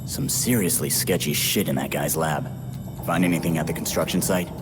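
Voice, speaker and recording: A man playing a blunt, brooding young American vigilante with a gruff voice in a video game cutscene. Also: rumbling low, moderate pace, heavy background noise. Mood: impatient, frustrated. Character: brooding, hot-headed young male masked vigilante.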